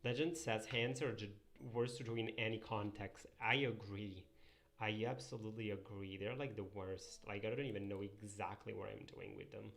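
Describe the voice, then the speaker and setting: A man's voice: lowish voice, fairly even intonation, normal speed, gravelly, a bit bright, faint music behind. A young man whose first language is Italian, casually thinking aloud and answering live chat while he draws pixel art. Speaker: streamer drawing pixel art.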